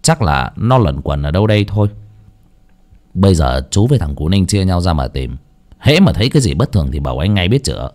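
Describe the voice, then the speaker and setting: A man voicing a gruff older village exorcist who talks low, curt and commanding. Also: very animated, a little fast, darkish tone. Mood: stern.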